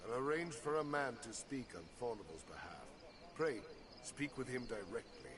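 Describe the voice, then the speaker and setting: An actor playing a dutiful medieval military officer in a fantasy video game, speaking in measured, formal, archaic phrasing. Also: male voice, mid-range voice, expressive, noisy background, lightly acted. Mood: grave, formal. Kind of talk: scripted fantasy game dialogue. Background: game music.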